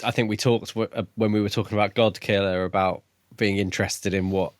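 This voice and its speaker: A man's voice: fairly low pitch, steady tone, fairly quick, dry recording. A man co-hosting a podcast, discussing a book casually and thoughtfully, feeling out his ideas as he goes. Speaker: podcast co-host.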